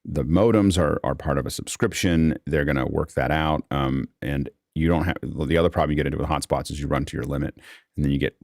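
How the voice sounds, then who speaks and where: A man's voice: very deep voice, fairly quick, creaky, a little mellow, dry recording, studio quality. A man hosting an online panel, explaining technical things casually in a rambling way with repeated phrase openings. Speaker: host of an online panel discussion.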